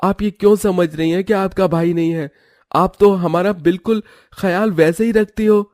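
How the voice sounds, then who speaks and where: A man's voice: sits high, fairly even intonation, normal speed, darkish tone, dry recording, studio quality, natural voice. A man voicing an affectionate, eager young brother-in-law in a cartoon, earnest and caring. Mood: concerned.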